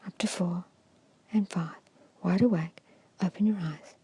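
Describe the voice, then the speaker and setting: Woman, whispered ASMR hypnosis, very breathy, soft and mellow, hushed gentle instructions, meditation guide. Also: on the low side, unhurried, no echo.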